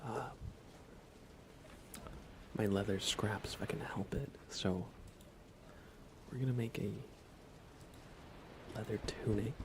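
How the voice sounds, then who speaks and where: A man softly thinking aloud in an unhurried, whispered ASMR style as he narrates a video game livestream. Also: fairly low pitch, steady tone, noisy background. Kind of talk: ASMR gaming commentary, whispered and soft-spoken.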